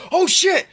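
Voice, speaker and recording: A man co-hosting a podcast in casual comedic banter, telling anecdotes in an animated, exclamatory, sweary way. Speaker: podcast co-host.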